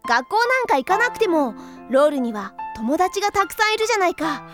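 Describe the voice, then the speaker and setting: A woman playing an earnest, gentle heroic robot boy in an anime audio drama, speaking politely and reassuringly. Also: very high-pitched, big pitch swings, fairly quick. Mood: concerned, gentle. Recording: music behind the voice.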